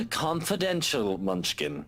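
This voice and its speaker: An actor playing a haughty, teasing English gentleman in a visual novel, sarcastic and condescending. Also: on the high side, exaggerated voice. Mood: amused. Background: game music.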